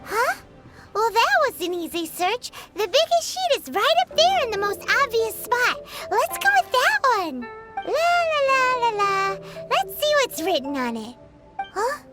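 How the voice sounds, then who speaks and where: A woman voicing a tiny, excitable, greedy and chatty girl companion in a video game, with a squeaky childlike voice and an exclaiming manner. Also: a little slow, over loud music, heavily acted. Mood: curious. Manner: speaks of self in third person, exclaiming. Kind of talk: video game cutscene voice acting.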